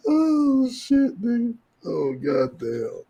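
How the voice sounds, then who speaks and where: Man, American, high voice, swooping intonation, slightly husky, casual profane storyteller, podcast host. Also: very slow, muffled recording. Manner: animated, profane, slangy, acts out quoted exchanges. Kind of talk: casual comic storytelling among friends.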